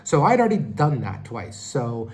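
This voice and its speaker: A man's voice: medium pitch, big pitch swings, normal speed, slight background noise. A man in his thirties from California, talking casually and rambling a bit while giving a vlog update. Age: 30s. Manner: rambling, conversational, self-correcting. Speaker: vlogger.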